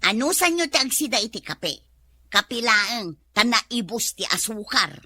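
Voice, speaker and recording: A thrifty, long-suffering housewife with a wry, complaining manner. Her voice is fairly high, sharp and close, with an Ilocano Filipino accent, in radio comedy style. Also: big pitch swings, unhurried, slightly rough, no echo, full character voice.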